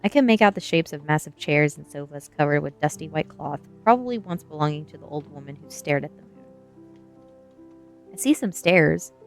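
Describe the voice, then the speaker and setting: A woman with a fairly low voice reads a story aloud as an ASMR streamer. Her narration is expressive, with key words stretched for emphasis, and soft music plays behind her. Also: fast talker, few pauses. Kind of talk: ASMR reading of a young adult novel, storytelling narration.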